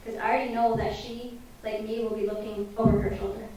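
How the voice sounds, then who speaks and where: A woman's voice: a little high, flat delivery, fairly quick. A young woman reciting spoken word poetry in a dramatic, impassioned way. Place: event hall before an audience. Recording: echoey hall, distant mic, faint noise.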